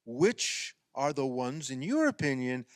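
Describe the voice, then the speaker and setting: An actor playing an eager, needling fifty-year-old husband in a radio play, excitable and argumentative. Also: male voice, medium pitch, measured pace, no echo, studio quality. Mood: insistent, bickering.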